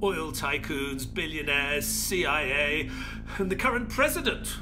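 A man's voice: fairly high pitch, animated, unhurried, over music. Male actor as loyal detective's companion. Inquisitive, doubting, earnest, very varied intonation, audio drama with underscore. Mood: questioning.

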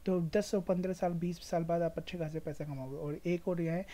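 An Indian woman hosts an informal screen-share explainer in a mellow, relaxed voice that sits a little low. She advises emphatically, repeating phrases to drive points home, and mixes English words freely into her speech. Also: fairly quick. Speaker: stock market video host.

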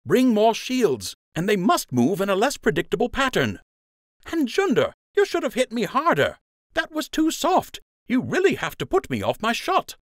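A man's voice: sits high, wildly swooping pitch, moderate pace, dry recording, clean studio sound, pitched above the performer's own voice. A man voicing a zealous, frustrated young male rabbit archer in an audiobook, impatient and demanding. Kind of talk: audiobook character acting.

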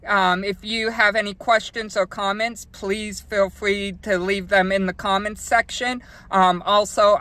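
Guy talking car washes, slow and hesitant, lots of ums, bright voice, dry sound. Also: high voice, no room sound. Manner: hesitant, many ums and restarts. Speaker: car wash channel host, car wash worker. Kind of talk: casual explanatory vlog.

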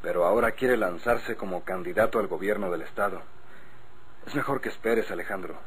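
An actor playing a confident, chummy politician's son in a Mexican radio drama, slightly husky, with a Mexican accent. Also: male voice, low voice, a little fast, fairly bright tone, slight background noise. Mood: confiding, persuasive. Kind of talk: golden-age Mexican radio drama acting.

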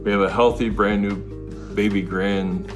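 A man's voice: lowish voice, fairly even intonation, normal speed, music in the background. A middle-aged man casually explaining house wiring work, practical and self-joking. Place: home interior.

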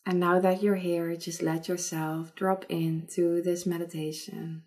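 Female meditation guide: mellow, very even delivery, soothing, leaving long pauses. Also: fairly low pitch.

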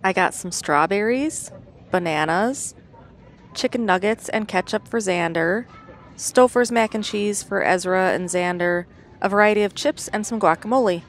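A woman's voice: mid-range voice, normal speed, slight background noise. A middle-aged woman reading a matter-of-fact voice-over about her weekend. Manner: even, matter-of-fact storytelling. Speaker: vlogger narrating her weekend. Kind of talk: scripted voice-over narration.

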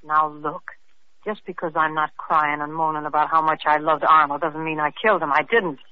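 An actress playing a brash, flippant young wealthy widow being questioned, in 1940s radio acting style. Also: female voice, sits low, fairly even intonation, brisk, natural voice. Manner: flippant, sharp-tongued, mocking. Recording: muffled old radio recording.

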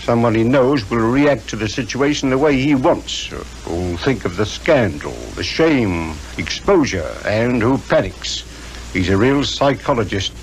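A man's voice: mid-range voice, animated, a little slow, lightly acted. Guy doing a no-nonsense british copper, mid voice, clipped and knowing, old radio recording with some noise. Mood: knowing, explanatory. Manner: plain-spoken, clipped, knowing. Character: blunt, worldly, confident male police inspector. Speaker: police inspector. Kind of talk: 1950s British radio drama acting. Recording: noisy 1950s radio recording.

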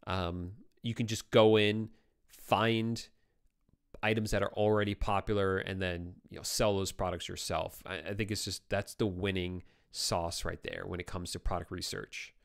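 Young male livestream host, slightly low voice, worn and hoarse from talking, relaxed answers into a close boom mic. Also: normal speed. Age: young adult. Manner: relaxed, conversational, reads viewer comments aloud. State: voice getting tired and hoarse. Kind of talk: casual live Q&A. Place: home studio with brick wall. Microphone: boom-arm studio microphone close up.

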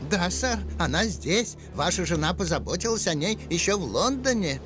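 A man voicing an anxious, loyal animal servant in a cartoon, fretful and deferential toward his gentleman. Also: high-pitched, expressive, moderate pace, slight background noise. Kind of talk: dubbed cartoon acting.

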